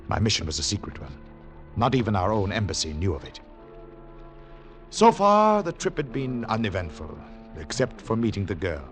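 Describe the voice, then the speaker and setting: An actor playing a grave, wary secret agent in a 1940s radio drama, with a measured, dramatic delivery. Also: male voice, sits low, heavily acted. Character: David, weary wary foreign secret agent narrating his mission. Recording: old radio recording with music.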